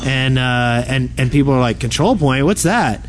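A man's voice: mid-range voice, animated, normal speed. A man co-hosting a casual gaming podcast, talking informally with the others. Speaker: podcast co-host. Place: live on an open convention floor. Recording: busy convention floor noise. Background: convention ambience and loudspeaker.

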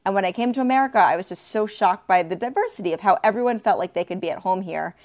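A woman co-hosting a sports podcast, chatty and expressive, with playful asides. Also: medium pitch, fast. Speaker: podcast co-host. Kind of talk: casual sports talk.

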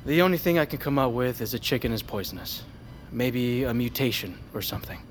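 A man playing a brisk, commanding medic in an audio drama. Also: mid-range voice, animated, soft background music, close to an everyday voice. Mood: puzzled, calm. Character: urgent, take-charge medic treating a patient.

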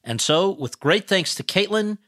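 A man's voice: high voice, expressive, moderate pace, sharp tone, no room sound, studio quality. A man hosting an awards podcast, reading a formal introduction and then asking interview questions. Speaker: podcast host.

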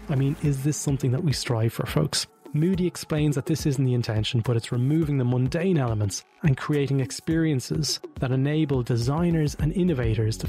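A man hosting a design podcast, reading a scripted intro and then chatting informally with his guest. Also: medium pitch. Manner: friendly, informal, loose phrasing. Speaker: podcast host. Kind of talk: scripted intro then casual interview chat.

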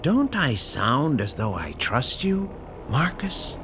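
Coolly menacing crime boss, wildly swooping pitch. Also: male voice, sing-song, noisy background. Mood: quietly menacing. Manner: polite, precise, coolly deliberate. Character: calm, controlling, menacing male crime boss. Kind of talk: audio horror drama acting.

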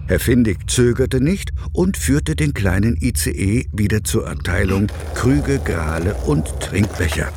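A man's voice: on the low side, lively intonation, unhurried, few pauses, background noise. A man narrating a scripted children's story as the narrator.

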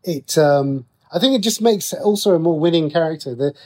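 A man's voice: a little high, animated, a little fast, warm tone. A middle-aged man speaking casually as an interview guest, explaining things in a chatty, rambling way. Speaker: writer and radio drama creator, interview guest.

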